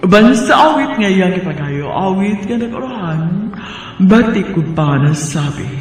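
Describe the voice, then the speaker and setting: A man's voice: high voice, expressive, unhurried. A man with a Cebuano Filipino accent reading scripted narration as a radio drama announcer. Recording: very echoey, distant, long reverb, music behind.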